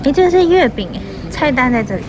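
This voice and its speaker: Woman food vlogger in a restaurant, bit high voice, chatting about each dish, loud music behind. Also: very animated, fast talker, low-quality recording. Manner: chatty, describing dishes between bites. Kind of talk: casual food review. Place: small restaurant, indoors. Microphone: phone camera held close.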